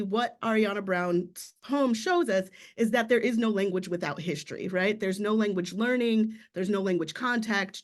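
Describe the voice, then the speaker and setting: An academic presenter giving a conference talk in a measured, thoughtful way, with frequent fillers. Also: mid-range voice.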